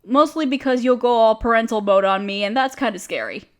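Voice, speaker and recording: High-voiced, apologetic young woman acted by a woman. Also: fairly quick, lightly acted. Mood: flustered, protesting. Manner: self-deprecating, hesitant, casual. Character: downcast, apologetic young woman, overworked, confiding in a close friend. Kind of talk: roleplay audio drama, intimate one-sided conversation.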